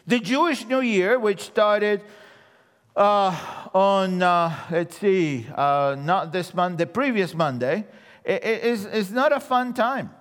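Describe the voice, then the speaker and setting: A man from Argentina with an Argentine Spanish accent, preaching a sermon and telling humorous stories, dropping his voice low for the punchlines. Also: slow, long reverb tail. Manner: animated storyteller, drops voice low for punchlines. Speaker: guest preacher.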